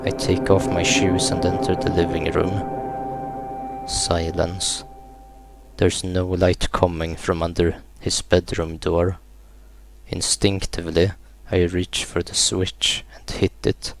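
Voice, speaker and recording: A man reading a horror visual novel aloud in a subdued, unhurried way, as a gaming YouTuber narrating a playthrough. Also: deep voice, level tone, gravelly. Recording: music behind the voice. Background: game music.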